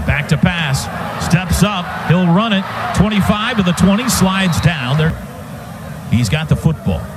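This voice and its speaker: High-pitched male football play-by-play announcer, noisy. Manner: clipped rapid phrases, building excitement. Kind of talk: live sports commentary. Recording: outdoor stadium radio booth, clear background noise.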